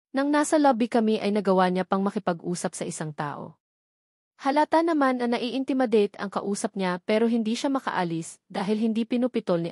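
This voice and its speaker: A woman's voice: medium pitch, a little mellow, dry recording, clean studio sound. A woman reading a first-person romance novel aloud as scripted narration. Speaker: story narrator.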